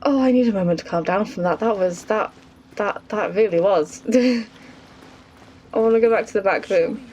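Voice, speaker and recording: A woman's voice: medium pitch, normal speed, a little mellow, highs cut off, slight background noise. A woman, reacting casually as she streams a game.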